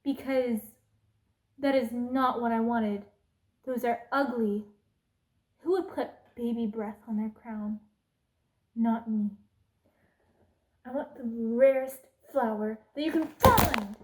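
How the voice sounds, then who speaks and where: A woman playing a haughty, cruel evil queen in an ASMR roleplay, speaking in an imperious, deliberate, menacing way. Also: a little high, low-quality recording. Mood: scornful, irritated. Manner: imperious, slow and deliberate, drops into menacing whispers.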